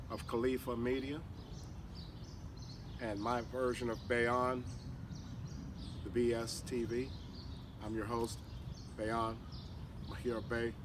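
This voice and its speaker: A man with a head cold and a slightly nasal voice hosts an interview outdoors in a city park, rambling with many fillers. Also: lowish voice. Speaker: host. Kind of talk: casual interview introduction. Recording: distant mic outdoors with clear street noise.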